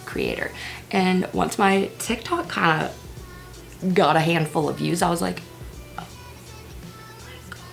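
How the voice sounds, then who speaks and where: A woman's voice: lowish voice, lively intonation, slow pace, creaky, long pauses, faint music behind. A woman in her early twenties chatting casually at home while doing her makeup, self-deprecating, with whispered asides. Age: 20s. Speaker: vlogger. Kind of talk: casual get-ready-with-me chat.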